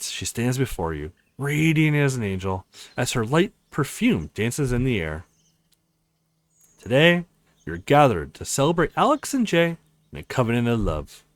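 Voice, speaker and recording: Man, let's play host, expressive story reading, casual asides, highly varied intonation, dry recording. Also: no echo. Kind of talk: reading a visual novel aloud with casual reactions.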